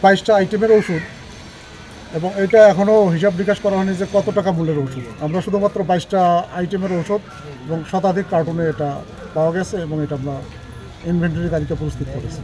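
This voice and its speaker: A man's voice: high-pitched, fast talker, dark tone, poor audio. A man, an anti-corruption official, giving a formal, bureaucratic statement to the press outdoors at a hospital.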